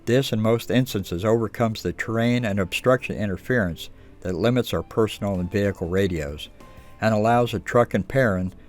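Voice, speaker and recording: A man's voice: lowish voice, moderate pace, soft background music. A man reading scripted instructional narration for a training video.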